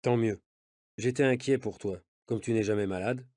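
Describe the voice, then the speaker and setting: A man reading a novel's dialogue aloud for language learners, speaking in a measured, clear way. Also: on the low side, fairly even intonation, a little mellow, no room sound, clean studio sound. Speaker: audio reader of a learner's novel.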